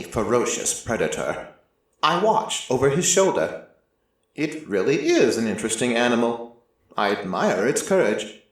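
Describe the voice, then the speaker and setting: Man voicing an ancient god, slow, cavernous echo. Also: medium pitch. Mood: dry, patient. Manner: slow, deliberate, dramatic pauses. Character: ancient, merciless god of prophecy, brooding and solemn. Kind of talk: dramatic first-person storytelling. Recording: heavily echoing, distant-sounding voice.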